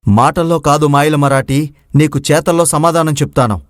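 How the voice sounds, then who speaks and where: A man's voice: on the high side, normal speed, no room sound, studio quality, close to an everyday voice. A man playing a brave, clever young prince in a storybook tale, speaking formally and confidently. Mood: determined. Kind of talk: Telugu moral story voice acting.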